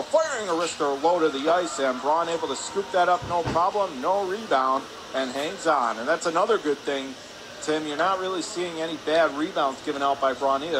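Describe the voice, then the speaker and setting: High-voiced man calling hockey over loud noise. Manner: brisk clipped present-tense calls, rising on shots. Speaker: play-by-play radio announcer. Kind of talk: live hockey radio commentary. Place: indoor ice rink. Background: loud arena ambience noise.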